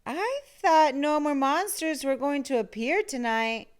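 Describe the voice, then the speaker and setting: A woman's voice: high voice, animated, measured pace, voice pushed up. A woman playing a plucky, skeptical child who talks back at bedtime. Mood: indignant.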